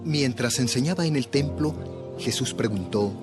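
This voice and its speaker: A man's voice: medium pitch, lively intonation, a little slow. A man narrating a dramatized audio Bible as scripted storytelling. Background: music.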